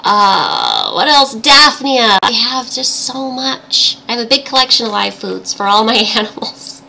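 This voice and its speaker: This is a woman hosting a casual livestream with a tiny, high, girlish voice that could belong to a cartoon princess. Her tone is bright and crisp-edged. She chats cheerfully and giggles easily, over a slightly noisy connection. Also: huge pitch swings, unhurried. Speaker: livestream host. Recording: livestream audio with background noise.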